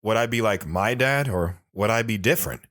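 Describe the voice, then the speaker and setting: A man's voice: on the low side, fairly quick, a bit bright, no room sound, studio quality, close to an everyday voice. An actor playing a reflective grown man recounting his boyhood in a first-person audio drama.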